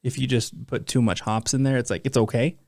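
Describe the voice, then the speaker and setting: A man's voice: medium pitch, level tone, fairly quick, gravelly, a little mellow, no echo, clean studio sound. A man in his thirties co-hosting a podcast, laid-back and teasing, swearing casually. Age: 30s. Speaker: podcast co-host.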